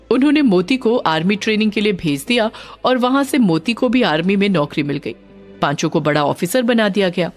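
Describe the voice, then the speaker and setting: Female story narrator: expressive, emphatic, very lively intonation, faint music under dramatic scripted tale. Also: mid-range voice.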